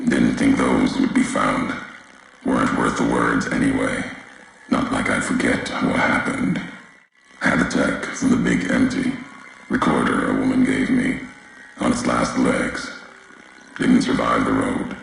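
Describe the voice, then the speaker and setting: A man voicing a solemn, brooding wasteland courier in a video game, very deep and gravelly, speaking in slow, cryptic, clipped fragments. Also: a bit bright, heavy reverb, slight background noise, heavily acted, poor audio. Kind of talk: video game character monologue.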